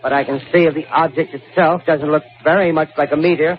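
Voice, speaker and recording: Through a muffled, crackly 1930s radio broadcast comes a man acting as an American news reporter. His voice is fairly high and he talks fast and urgently. He stumbles as he describes what he sees, rattled, like a live eyewitness in a radio play. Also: fairly even intonation, natural voice. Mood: brisk, curious. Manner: breathless, halting, urgent eyewitness delivery. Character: excitable male radio news reporter, rattled and increasingly horrified.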